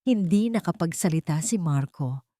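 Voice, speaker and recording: Female storyteller, steady dramatic narration, low pitch, dry close mic. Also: normal speed, dry recording.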